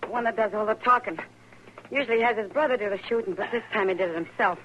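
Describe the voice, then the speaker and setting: An actress playing a direct, sharp-tongued frontier saloon woman in an old western radio drama. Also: mid-range voice, fast talker, close to an everyday voice. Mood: urgent, concerned. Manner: direct, no-nonsense. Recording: noisy, crackly old radio recording.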